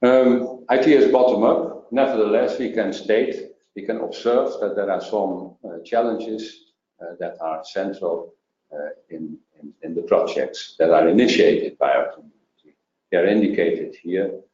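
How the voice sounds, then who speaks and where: Deep dark-toned guy giving a webinar talk, lots of uhs, echoey lo-fi sound. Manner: halting, many fillers and restarts. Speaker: webinar presenter. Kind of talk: presenting slides in a webinar. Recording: roomy, dull lo-fi sound.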